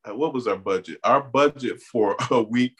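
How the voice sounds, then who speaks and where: A man's voice: mid-range voice, moderate pace, husky. A man from the Bahamas raised in Miami, a guest in an interview, telling stories with lots of "you know" fillers. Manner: animated storyteller, many "you know" fillers. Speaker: artist and community organizer guest. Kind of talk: interview conversation.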